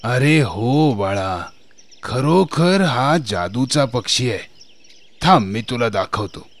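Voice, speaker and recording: A gentle, grateful old grandfather from a fairy-tale cartoon. He speaks very slowly and affectionately, at a middling pitch, drawing out his words like someone weary with age. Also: lively intonation. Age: older. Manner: slow, drawn-out, affectionate.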